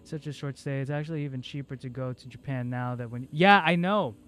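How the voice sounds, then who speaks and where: A man's voice: mid-range voice, a little fast, a bit bright. A male game streamer, a native Cebuano speaker, chatting casually and rambling with lots of fillers. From Philippines. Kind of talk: casual livestream chat.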